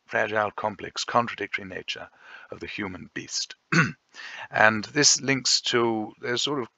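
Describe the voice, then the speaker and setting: A man teaching a recorded revision lesson, chatty and self-deprecating, often pausing to think. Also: fairly low pitch, creaky, bright, forceful tone, no echo. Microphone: voice-over over slides.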